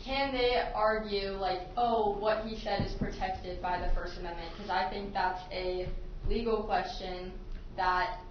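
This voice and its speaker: A woman's voice: medium pitch, fairly even intonation, unhurried. A woman speaking as an attorney, putting a legal question to the court in a courtroom. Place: indoor courtroom. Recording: roomy, dull, noisy distant courtroom audio.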